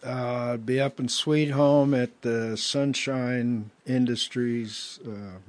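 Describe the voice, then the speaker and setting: A man's voice: mid-range voice, fairly even intonation, slow pace, no echo. A man chatting as a guest musician on a talk radio show, laid-back and hesitant. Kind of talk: casual radio chat.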